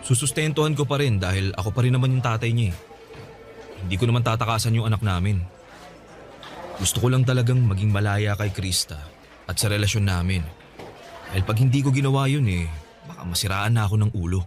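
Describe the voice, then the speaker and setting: A lowish male voice of a guilty young man, played by an actor in a radio drama, pleading earnestly and stumbling over his words. He sounds sorry but insistent. Quiet music sits underneath. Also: fast talker, pauses often, close to an everyday voice. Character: remorseful young man pleading for another chance. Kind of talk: Tagalog radio drama acting.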